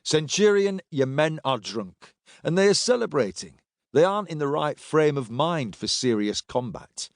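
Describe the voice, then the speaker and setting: A man voicing a weary, steely male Roman agent in an audiobook, speaking in a measured, dry, firm way. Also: a little high, big pitch swings, dry recording, pitched above the performer's own voice. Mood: firm, reasoning.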